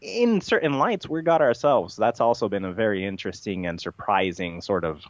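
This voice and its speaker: Male podcast guest, lowish voice, rambling and matter-of-fact with halting phrasing, slightly dull recording. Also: animated, no echo. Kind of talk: rambling interview storytelling.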